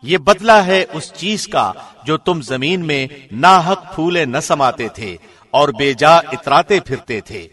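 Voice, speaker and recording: A man reading a scripture translation as formal narration, solemn and measured, with careful enunciation. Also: on the high side, expressive, a bit bright. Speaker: translation narrator, radio host.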